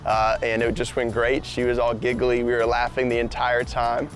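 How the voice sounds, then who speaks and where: Even-toned young man over soft music. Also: mid-range voice, normal speed. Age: young adult. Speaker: groom telling his love story. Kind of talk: casual personal storytelling on camera.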